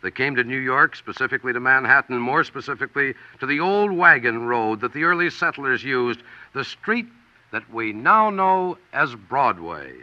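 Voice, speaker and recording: A man's voice: medium pitch, expressive, moderate pace, no room sound. An American man reading scripted 1940s radio documentary narration in a punchy, dramatic manner. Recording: dull vintage 1940s radio broadcast.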